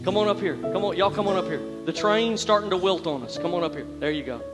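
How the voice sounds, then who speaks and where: A man's voice: high-pitched, fast, loud music behind the voice. A man, hosting people on stage at church in an upbeat, coaxing way. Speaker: pastor. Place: large church hall with live band and congregation. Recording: hall reverb, loud band and crowd.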